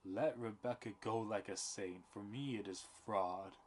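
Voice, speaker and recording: A proud, tormented farmer in a low, gravelly voice, speaking in bitter, clipped phrases, played by a man reading the play. The delivery is slow and heavy. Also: animated, a little hiss or hum.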